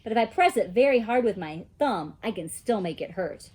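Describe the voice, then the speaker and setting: A woman reading a children's story aloud in an expressive storytelling style, like a teacher. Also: medium pitch, normal speed, husky.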